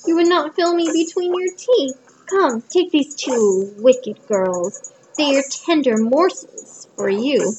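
A woman voicing a shrill, ill-tempered little male dwarf in a storybook reading, scolding and insulting. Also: takes it slowly, hardly any pauses, a little hiss or hum, poor audio.

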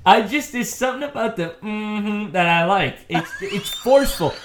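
A man co-hosting a casual podcast, emphatic and playful, leaning on drawn-out "mm-hmm"s. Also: high-pitched, expressive, over a noisy crowd. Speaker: podcast co-host. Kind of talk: casual chat.

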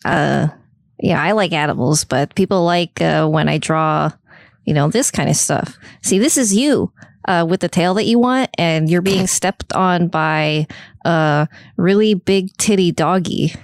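A woman's voice: lowish voice, measured pace, no echo, clean studio sound. A woman playing a forgetful, eager-to-please young furry artist in a comedy sketch, with a sheepish, hesitant delivery. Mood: eager, shy.

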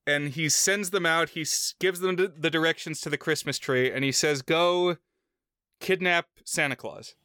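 Bright, clear-voiced American man discussing film. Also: a little high, moderate pace, no echo, clean studio sound. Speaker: podcast co-host.

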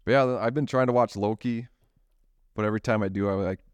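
A man's voice: on the low side, steady tone, fast talker, smooth, no room sound. A young man co-hosting a podcast, telling a rambling story in casual chat and laughing often. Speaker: podcast co-host.

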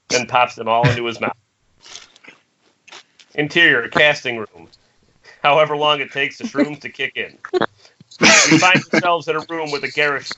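Casting: male script narrator, mid-pitched bright voice, dull online-call recording. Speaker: narrator of a table read. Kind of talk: scripted narration of screenplay directions. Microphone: remote video-call microphone. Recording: muffled internet call, faint noise.

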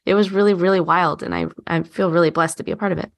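A woman's voice: lowish voice, quick pace, creaky. A woman chatting reflectively and casually in a roundtable, speaking in an earnest, heartfelt way. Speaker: podcast guest, actor.

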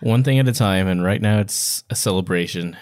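Low-voiced man in slangy casual chat. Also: a little fast, a little mellow. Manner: slangy, self-declared cynic. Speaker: podcast co-host.

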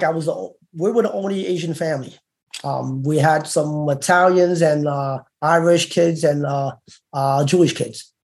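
Gravelly-voiced man telling his life story. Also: fairly high pitch, darkish tone. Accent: Cantonese-tinged New York English. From Brooklyn, New York. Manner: halting, many fillers and restarts. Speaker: interview guest, former gang member. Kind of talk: candid interview storytelling.

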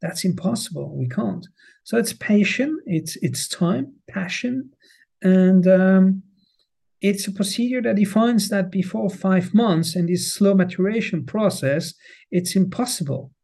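A man's voice: high voice, a little slow, creaky, darkish tone, slightly rough. A middle-aged Swiss man with a French accent, speaking English as a guest in a podcast interview, often repeating words as he restarts and stressing what he cares about. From Switzerland. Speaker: guest, cheese marketing head. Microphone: remote, on the road.

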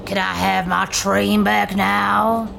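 An actress playing an indignant, folksy train driver in a sci-fi audio drama, scolding, with a gravelly, creaky voice. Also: female voice, medium pitch, level tone, takes it slowly, noisy background. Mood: stern.

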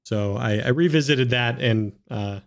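A man with a voice of middling pitch, chatting casually as one of the players at a tabletop game. He makes jokes and talks in an easygoing, unhurried way. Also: creaky. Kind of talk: casual chat.

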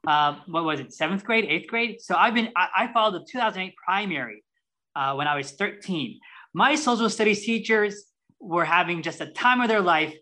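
A man's voice: high voice, bright, forceful tone, poor audio. A man in his twenties from Ohio, talking as a podcast guest in an eager, chatty way with frequent restarts. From Ohio, United States. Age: 20s. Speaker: guest, youth caucus chair. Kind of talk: casual interview talk.

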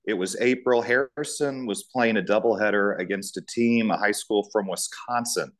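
Low-pitched American man telling his story. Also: moderate pace, a bit bright. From United States, Indiana. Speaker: guest, sports public address announcer. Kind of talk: conversational personal storytelling.

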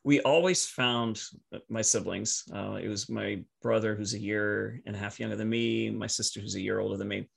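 A man whose first language is French, telling personal stories casually as a podcast guest in a halting, reflective, easily amused way. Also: on the low side, steady tone, creaky, breathy edge.

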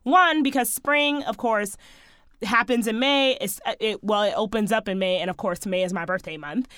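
A woman hosting her own podcast in a sassy, chatty way, talking straight to her listeners, her voice a little hoarse. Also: mid-range voice, moderate pace, fairly bright tone, no room sound, clean studio sound. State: slightly hoarse, losing her voice. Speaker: podcast host. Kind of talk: casual solo podcast chat.

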